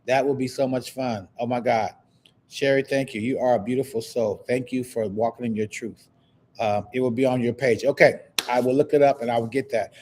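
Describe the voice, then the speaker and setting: A man's voice: medium pitch, steady tone, fairly quick, a little mellow, a little hiss or hum. A man with a lisp and a slight stutter, hosting a live online talk show in a casual, motivational way, repeating phrases and reacting to viewer comments. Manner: repetitive, exclamatory, reading viewer comments aloud.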